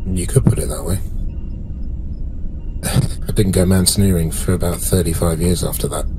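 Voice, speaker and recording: A man playing a weary, wry alien officer in improvised tabletop roleplay, speaking in a dry, halting, understated way. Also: low voice, steady tone, over music, rough recording. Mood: subdued, wry. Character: weary, wry long-lived alien male officer, now a patient. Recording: clear background noise.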